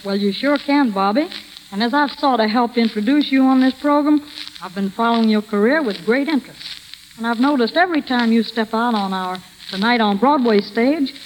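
A high-voiced American woman hosts an old-time radio variety show. Her patter is scripted, polished and playful in the 1940s manner. The sound is that of a vintage broadcast. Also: lively intonation, normal speed, slight background noise, poor audio.

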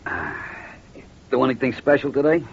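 A man's voice: mid-range voice, very animated, moderate pace, vocal fry, husky, full character voice. An actor playing an easygoing, grateful working husband in an old-time radio drama, homely and agreeable in his talk. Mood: mild, contented. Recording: muffled, faintly noisy vintage radio recording.

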